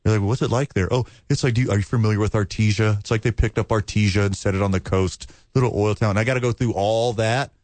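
A man's voice: fairly low pitch, fairly even intonation, quick pace, hardly any pauses, darkish tone, no echo. A man from Texas co-hosting a casual sports podcast, trading dry, teasing banter. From Texas, USA. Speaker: podcast co-host.

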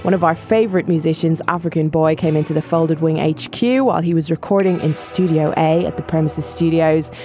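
Low-voiced woman hosting a podcast. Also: a little fast, few pauses, warm tone, soft background music. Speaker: podcast host. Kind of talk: scripted podcast intro.

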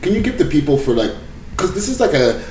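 A man's voice: fairly high pitch, sing-song, fast talker, darkish tone, echoey room, a little hiss or hum, rough recording. A man hosting a hip hop radio interview, chatting casually and playfully in slangy speech. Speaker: radio show host.

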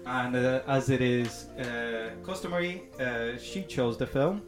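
A man's voice: mid-range voice, a little slow, over music. A man co-hosting a film podcast in casual chat, laughing at himself as he stumbles over names. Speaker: podcast co-host. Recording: echoey room with faint noise.

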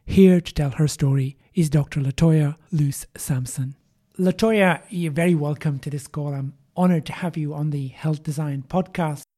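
A man hosting a podcast, interviewing a guest in formal, deliberate phrasing and a measured conversational style. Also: fairly high pitch, warm tone.

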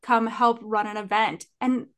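A woman's voice: a little high, steady tone, measured pace, fairly bright tone. A woman co-hosting a podcast, chatting casually and enthusiastically. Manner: enthusiastic, upbeat. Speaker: podcast co-host.

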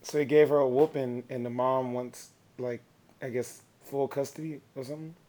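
A man with a raspy voice trades jokes in loose, slangy podcast talk. His pitch sits in the middle, neither deep nor high. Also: fairly even intonation, moderate pace, warm tone. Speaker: podcast co-host. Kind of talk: casual chat.

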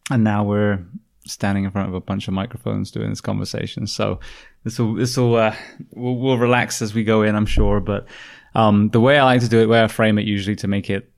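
A man hosting a podcast interview, speaking in an earnest, measured way with some fillers and restarts. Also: lowish voice, flat delivery, vocal fry. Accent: British. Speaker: podcast host. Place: home.

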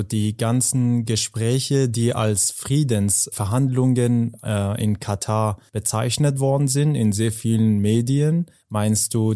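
A man's voice: lowish voice, a little mellow, dry recording, studio quality. A man from Afghanistan co-hosting a podcast and putting questions to a guest conversationally. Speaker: podcast co-host. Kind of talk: conversational interviewing.

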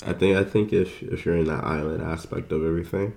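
Chill young guy on a podcast, super deep voice, lots of slang. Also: fairly even intonation, fast, gravelly, darkish tone. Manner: laid-back, slangy, short reactions. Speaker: podcast co-host. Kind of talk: casual chat.

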